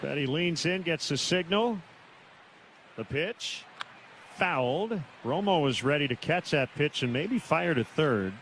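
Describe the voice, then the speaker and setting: A man's voice: a little high, animated, takes it slowly, a bit bright, poor audio. A man doing live baseball play-by-play as a radio announcer from a stadium broadcast booth. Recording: clear noise behind the voice. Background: outdoor ambient stadium noise.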